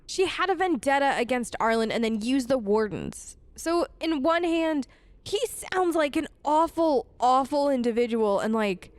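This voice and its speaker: A woman's voice: high voice, no room sound. A woman recording a role-play let's play of a video game, speaking into a close microphone.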